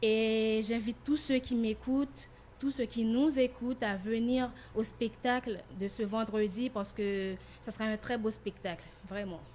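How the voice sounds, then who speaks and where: A woman's voice: fairly high pitch, steady tone, measured pace, darkish tone, slight background noise. A young woman from Haiti, a student guest speaking earnestly and personally in a radio interview.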